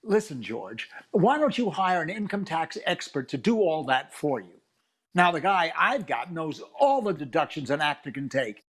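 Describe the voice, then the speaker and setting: A boastful older ladies' man talks in a high, very animated voice. He has the vain, breezy delivery of a 1940s radio comedy sidekick. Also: moderate pace, breathy edge, full character voice. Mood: breezy advice. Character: boastful, vain, girl-chasing radio announcer pal. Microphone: webcam-style video call, earphones.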